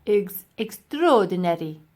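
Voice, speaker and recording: A woman's voice: mid-range voice, lively intonation, unhurried, darkish tone. A woman voicing a pompous, boastful old male professor in a children's storybook, scornful and grandly emphatic. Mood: awed.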